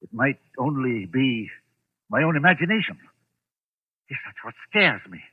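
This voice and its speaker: A man's voice: mid-range voice, very animated, normal speed, slightly rough. An actor playing a pompous, snobbish middle-aged businessman with a haunted streak, clipped and imperious, in old-time radio drama style. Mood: uneasy. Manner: imperious, clipped, self-important. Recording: noisy, tinny vintage radio recording.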